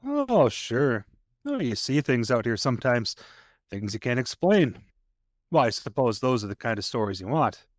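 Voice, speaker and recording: An actor playing a chatty, folksy local sheriff's deputy in an audio play, telling things in a colloquial way. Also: male voice, mid-range voice, big pitch swings, dry recording, studio quality, natural voice. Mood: earnest, storytelling. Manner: folksy, colloquial, unhurried storytelling. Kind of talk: naturalistic audio drama dialogue.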